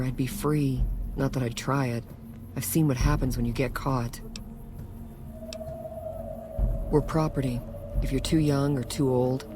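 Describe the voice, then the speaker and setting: A woman playing a guarded, weary slave in a video game. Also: a bass voice. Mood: resigned, guarded. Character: wary, resigned enslaved woman of a brutal legion. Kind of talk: video game dialogue acting.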